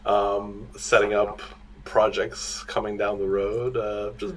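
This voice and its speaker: A relaxed young man from Canada talks casually over a video call, his voice sitting fairly low. He speaks in a friendly way with plenty of small fillers. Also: takes it slowly, slight background noise, low-quality recording. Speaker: guest improviser.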